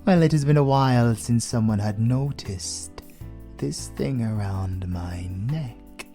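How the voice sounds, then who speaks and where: A British man playing an ancient, suave vampire lord in an intimate horror audio roleplay, menacing and flirtatious, with deliberate, teasing delivery that often drops to a whisper. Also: medium pitch, warm tone, soft background music. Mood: amused, suave.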